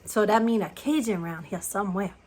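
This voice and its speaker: A woman's voice: mid-range voice, fairly quick, a little mellow, a little hiss or hum, natural voice. Woman putting on a hungry bossy giant. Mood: menacing. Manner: rhyming storybook voice, growling demands. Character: booming hungry male giant, bossy and mean.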